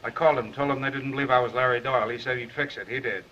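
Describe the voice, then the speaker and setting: A man's voice: mid-range voice, steady tone, racing, pushed and bright, close to an everyday voice. An actor playing a brash, wisecracking newspaper reporter down on his luck in a 1930s Hollywood film. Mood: rueful. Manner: cocky, wisecracking. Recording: muffled, noisy 1930s film soundtrack.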